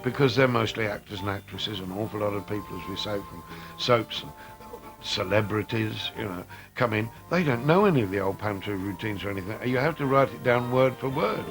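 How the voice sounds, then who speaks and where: A man talking in an interview, phrasing his views deliberately and with emphasis. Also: fairly low pitch, gravelly. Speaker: interviewee, pantomime writer. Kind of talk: interview talk. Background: music.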